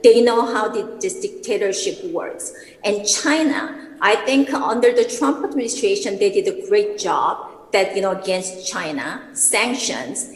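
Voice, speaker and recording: A woman's voice: medium pitch, animated, measured pace. A woman from South Korea with a Korean accent, a congresswoman answering bluntly on a panel. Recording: echoey hall with long reverb.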